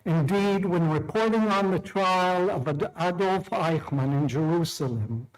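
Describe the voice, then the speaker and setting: A middle-aged man from Israel, a law professor, delivering a measured, deliberate prepared speech with small restarts. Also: fairly high pitch, poor audio. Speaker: law professor opening a tribunal.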